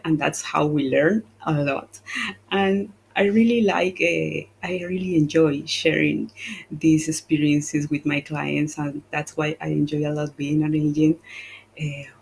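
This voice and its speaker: A woman in her forties whose first language is Spanish, speaking as a panel guest in an unhurried way, often laughing and searching for words. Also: low-pitched, little pitch movement, creaky, a little mellow, slight background noise, poor audio. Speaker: travel agent, panel guest. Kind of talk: casual panel chat.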